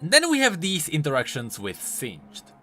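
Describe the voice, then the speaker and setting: A man's voice: on the high side, huge pitch swings, unhurried, sharp tone. A man hosting a scripted game lore commentary, with an emphatic, swooping delivery. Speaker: host of a game lore video channel. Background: background music.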